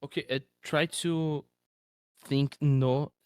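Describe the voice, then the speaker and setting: A man whose first language is not English, speaking haltingly in a casual voice chat, stammering and searching for words. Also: fairly high pitch, fairly even intonation, a little mellow, clear, resonant tone, no room sound. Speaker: helper in a study chat.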